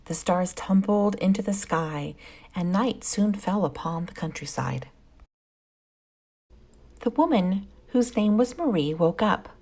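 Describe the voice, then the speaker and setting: A woman reads a picture book aloud like a kindergarten teacher. Her voice is slightly husky and very expressive in pitch, with phrases drawn out carefully for small children. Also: slow. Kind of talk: expressive storybook reading for young children.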